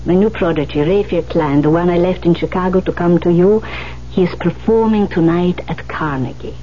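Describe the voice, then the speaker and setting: A woman's voice: lowish voice, lightly acted. An actress playing a bossy, coaxing older woman who mentors a pianist in a 1970s radio drama, speaking with insistent emphasis. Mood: commanding. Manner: insistent, emphatic, halting when delicate. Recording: dull vintage radio recording, faint hum.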